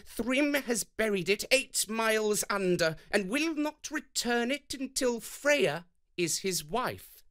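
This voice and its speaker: Man doing a high, sly trickster god. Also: lively intonation, slow pace, a bit bright, clear, resonant tone, full character voice. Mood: reporting. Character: sly male trickster god, smooth persuader. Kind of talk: children's storybook reading.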